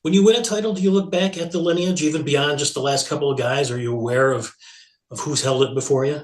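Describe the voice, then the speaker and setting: A man's voice: on the high side, fairly even intonation, fast talker. Echoey male interviewer. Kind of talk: casual sports interview. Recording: echoey room sound.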